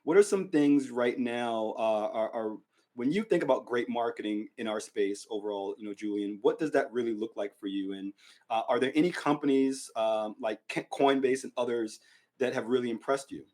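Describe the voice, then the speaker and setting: A podcast host, a man, asks long, loose questions and hedges them with lots of "like" and "you know." His voice sits at a middle pitch. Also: moderate pace, a little mellow. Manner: rambling, hedging questions with many "like"s. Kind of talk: casual interview questions.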